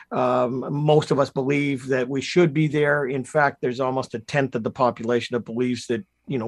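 A man with a Canadian accent speaking as an expert interview guest, explaining things step by step in a measured way. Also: medium pitch. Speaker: public opinion pollster, interview guest.

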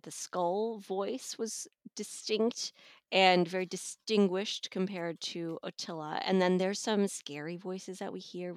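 A woman's voice: fairly low pitch, no room sound, clean studio sound. A middle-aged woman talking casually as an audiobook reviewer.

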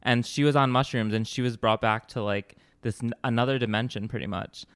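A man guest on a podcast, chatting excitably and laughing easily. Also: mid-range voice, fairly quick, clear, resonant tone, no echo, studio quality. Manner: excitable, laughs often, gossipy. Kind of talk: casual chat.

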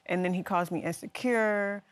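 A woman's voice: medium pitch, steady tone, moderate pace, clear, resonant tone. A woman testifying before a judge, earnest and aggrieved, with some hesitant fillers and restarts. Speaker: litigant. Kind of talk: courtroom testimony.

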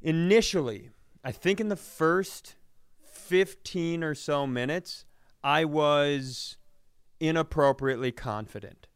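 A man co-hosting a podcast, telling self-deprecating, profane comic stories in an animated, exasperated way. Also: fairly high pitch, slow pace, clear, resonant tone, dry recording, clean studio sound. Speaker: podcast co-host. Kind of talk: comedic storytelling in casual podcast chat.

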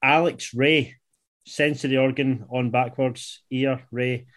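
A man's voice: mid-range voice, steady tone, background noise. Young man hosting a podcast. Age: 20s. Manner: laid-back, swears, reads riddles slowly. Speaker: podcast host. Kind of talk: casual chat.

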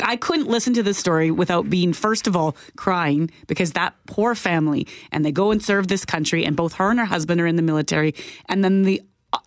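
A woman's voice: lowish voice, no room sound, clean studio sound. A woman with a Canadian accent giving earnest, insistent opinions as a radio co-host. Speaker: radio talk show co-host. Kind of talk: opinion talk.

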